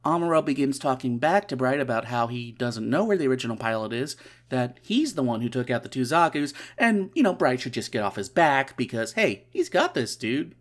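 A stuffed-up podcast host with a nasal voice, recapping a comic casually in a middling pitch. The host keeps breaking off for wry, sarcastic asides. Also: big pitch swings, a little fast. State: stuffy nose.

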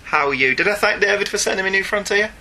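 A man's voice: high-pitched, animated, quick pace, sharp tone. A chatty, enthusiastic man co-hosting a podcast, talking casually and reading out listener emails. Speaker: podcast co-host. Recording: faint background noise.

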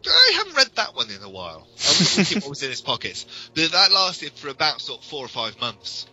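A man's voice: on the high side, huge pitch swings, a little fast, pushed and bright, highs cut off, faint music behind. A British man co-hosting a podcast, giving detailed, enthusiastic, informal explanations. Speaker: podcast co-host.

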